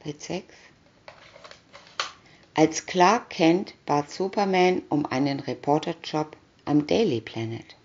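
Female storybook narrator: low-pitched, deliberate with pauses between captions, muffled lo-fi recording. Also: darkish tone. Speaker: reader of picture-story booklets. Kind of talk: scripted narration of a children's comic story. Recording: dull lo-fi recording.

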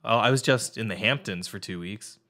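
A man's voice: lowish voice, a little fast, bright, forceful tone. A man in his thirties co-hosting a podcast, cracking jokes and laughing a lot between short replies. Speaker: podcast co-host. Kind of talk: casual chat. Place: home room with wall AC unit. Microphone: studio mic on boom with headphones.

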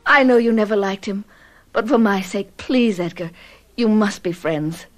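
An actress playing a passionate, wilful young gentlewoman in a radio drama. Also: medium pitch, normal speed, close to an everyday voice. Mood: excited.

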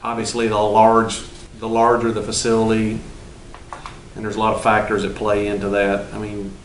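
A middle-aged man, a business partner, explaining in a measured, halting, plain-spoken way in an indoor room, recorded on a camera mic from some distance. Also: lowish voice, completely flat delivery, a little hiss or hum. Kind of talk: interview explanation. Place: indoor room with red backdrop. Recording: roomy echo, from the mic.

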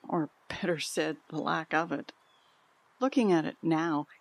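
A woman playing a frail elderly mother who recalls a hard past frankly and deliberately in an audio drama. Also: sits low, creaky, darkish tone, husky, full character voice. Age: older.

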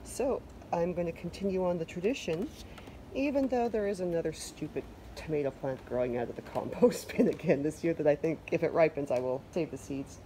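A woman's voice: low-pitched, a little fast, dark tone, slight background noise, poor audio. A Canadian woman chatting casually through a home garden vlog, loose and self-deprecating, with plenty of fillers. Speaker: vlogger. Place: covered wooden deck outdoors.

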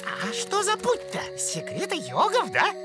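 A woman voicing an excitable, naive young male cartoon rabbit with a boyish, exclaiming manner. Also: very high-pitched, moderate pace, over music, heavily acted. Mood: agitated. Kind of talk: animated cartoon voice acting.

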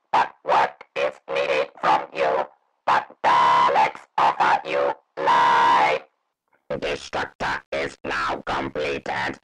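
A ruthless sci-fi war machine with a buzzing, ring-modulated robotic voice. It gives orders in an imperious staccato, very slowly and with a gravelly edge. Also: male voice, a bass voice, full character voice, rough recording. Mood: stern warning. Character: Dalek, a ruthless commanding alien war machine. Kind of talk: sci-fi character voice reel.

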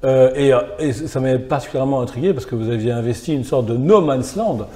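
A man's voice: mid-range voice, fast, mellow. A middle-aged man, a philosopher guest, thinking aloud in long reflective sentences in a small gallery salon. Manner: thoughtful, builds ideas in long clauses. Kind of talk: reflective conversational interview.

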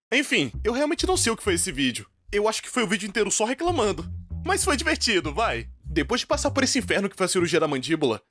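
A Brazilian man narrates a comedic personal story. His voice sits high for a man and swings widely in pitch. He talks in an informal, animated first-person style. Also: slightly rough. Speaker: voice-over dubber of an animated storytime video.

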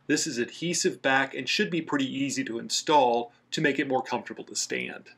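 A man's voice: mid-range voice, fairly quick, gravelly, fairly bright tone. A man narrating a scripted how-to voice-over as the host of a DIY outdoors channel.

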